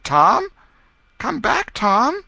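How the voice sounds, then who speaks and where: Man as a storybook little girl, lifted pitch, tender, easily hurt, girlish and earnest, dry close sound. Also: wildly swooping pitch, very slow, gravelly, sharp tone, dry recording, exaggerated voice. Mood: calling out. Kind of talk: audiobook character reading.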